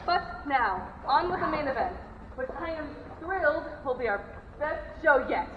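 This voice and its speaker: A woman's voice: high-pitched, lively intonation, a little slow. A young woman acting as a proud, flustered drama society director giving a formal, theatrical stage speech. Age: teen or young adult. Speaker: student actor. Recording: echoey noisy hall, distant muffled mic.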